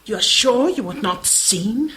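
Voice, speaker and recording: A woman's voice: on the high side, animated, unhurried, fairly bright tone, poor audio. A woman playing a cold, menacing criminal schemer in a radio play, with clipped, formal, commanding speech. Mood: controlled, scheming.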